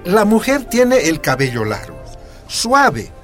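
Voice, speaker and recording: A loving Bolivian father in a radio soap opera. He is a stubborn union man who reasons gently and slowly, with very lively intonation. Faint music plays underneath. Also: high-pitched, full character voice. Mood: tender, reassuring.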